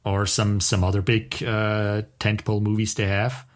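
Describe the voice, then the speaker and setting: A man's voice: lowish voice, little pitch movement, normal speed. A man co-hosting a podcast in casual conversation, with an opinionated, wry way of talking. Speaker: podcast co-host.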